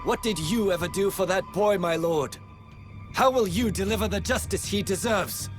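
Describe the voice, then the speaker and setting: A man's voice: high-pitched, moderate pace, music in the background, lightly acted. A man playing a bitter follower in a video game, accusing his lord in an impassioned, defiant way. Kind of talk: dramatic video game cutscene acting.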